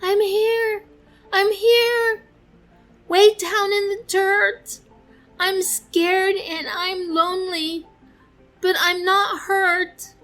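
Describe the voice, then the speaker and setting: A woman's voice: little pitch movement, very slow, fairly bright tone, faint music behind, heavily acted. A woman voicing a tiny, earnest, childlike seed in a plaintive storybook manner. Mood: scared, pleading. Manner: high, plaintive, exclaiming storybook voice.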